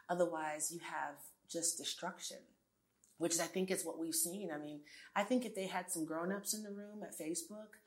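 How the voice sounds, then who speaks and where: A woman in her forties with a low-pitched voice speaks as an interview guest. She talks earnestly and emphatically, often restarting her sentences and adding asides. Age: 40s. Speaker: guest, tech entrepreneur. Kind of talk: conversational interview.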